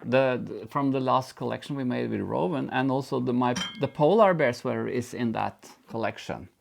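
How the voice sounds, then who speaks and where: A man's voice: mid-range voice, moderate pace, vocal fry, a little hiss or hum. A man co-hosting a knitting show, chatting enthusiastically and laughing easily as he explains his work. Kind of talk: casual chat and knitting explanation.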